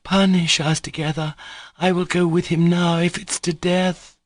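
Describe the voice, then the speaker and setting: A man's voice: high-pitched, little pitch movement, measured pace, no echo, pitched above the performer's own voice. A man voicing Grushenka, a distraught young woman crying out her guilt. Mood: frantic. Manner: crying, heart-rending.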